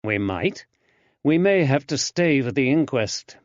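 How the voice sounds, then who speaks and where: A man voicing a calm, shrewd detective who speaks in a measured, even-tempered way, in a dull, dry audiobook recording. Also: medium pitch, dry recording, voice pushed up.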